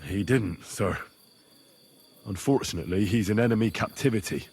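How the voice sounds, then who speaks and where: An actor playing an earnest, determined young squire in a medieval video game, polite and plain-spoken. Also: male voice, fairly low pitch, normal speed, gravelly, darkish tone, slightly rough. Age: young adult. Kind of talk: video game cutscene acting.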